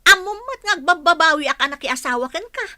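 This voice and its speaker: A woman acting a defiant, resentful wife in a radio drama, with a sharp, confrontational way of talking. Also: very high voice, expressive, quick pace, heavily acted. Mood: defiant, angry. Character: defiant, resentful unfaithful wife in a bitter quarrel.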